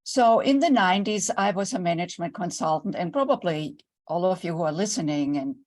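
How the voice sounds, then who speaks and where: A woman's voice: fairly low pitch, animated, brisk, darkish tone. An older woman from Germany with a German accent, a guest speaking earnestly and reflectively about her own story in an online interview. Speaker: guest, intimacy coach.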